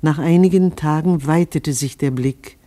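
An actor playing a solemn chronicler who recites a family history like scripture in a radio play. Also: male voice, on the high side, normal speed, warm tone, natural voice. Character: solemn biblical-style chronicler of a settler family.

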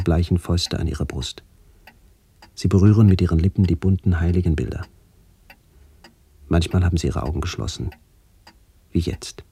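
An actor playing a brooding, melancholy middle-aged man who narrates a literary radio play in a measured, introspective way. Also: sits low, mellow, husky. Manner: measured, introspective, poetic delivery.

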